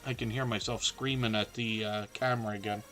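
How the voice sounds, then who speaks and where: A man's voice: lowish voice, fairly even intonation, a little fast, fairly bright tone, soft background music. A middle-aged man, hosting a live stream with a scratchy, worn voice and a chatty, encouraging manner full of ums. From southern Ontario, Canada. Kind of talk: casual live stream chat.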